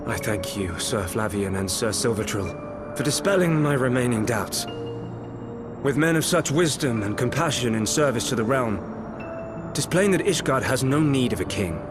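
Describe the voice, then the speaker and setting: A man's voice: medium pitch, lively intonation, normal speed, over music, natural voice. A man playing a solemn, penitent noble knight prince in a fantasy video game, speaking in grave, formal, archaic oratory. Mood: solemn resolve.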